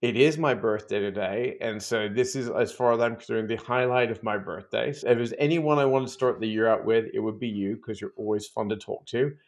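A middle-aged man with a fairly low voice hosts a casual podcast interview. He talks in a chatty way and often restarts his sentences. He is on a video call from a home office. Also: steady tone, brisk, hardly any pauses. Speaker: podcast host. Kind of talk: casual interview chat and scripted intro.

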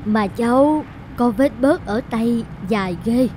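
A woman voicing a wealthy, kindly middle-aged lady in an animated moral tale, speaking politely and deliberately. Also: fairly high pitch, a little hiss or hum. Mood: friendly.

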